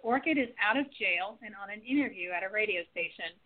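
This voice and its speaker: A woman's voice: medium pitch, animated, fast. Woman narrator on a tinny line. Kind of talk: scripted radio play narration.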